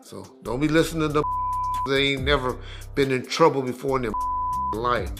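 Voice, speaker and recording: A man's voice: mid-range voice, normal speed. Casual man riffing with faint music. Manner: informal, restarts often, chuckles through points. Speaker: commentator on a podcast clip. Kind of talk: casual spoken commentary.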